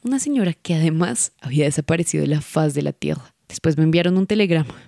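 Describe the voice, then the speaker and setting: An actress playing a cold, vengeful Colombian señora in a radio drama, taunting and deliberate in the way she talks. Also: low voice, animated, creaky, warm tone, dry recording, clean studio sound. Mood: reminiscing, bitter. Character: cold, vengeful señora savouring her long-awaited revenge.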